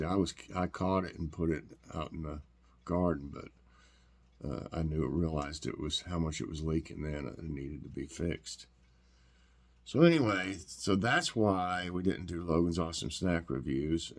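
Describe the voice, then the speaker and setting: Man, very low voice, stuffed-up nasal sound, rambling homey chat, lots of ums. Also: a little mellow. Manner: rambling, homey, full of fillers and restarts. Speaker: vlog host. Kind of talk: casual morning chat and taste test.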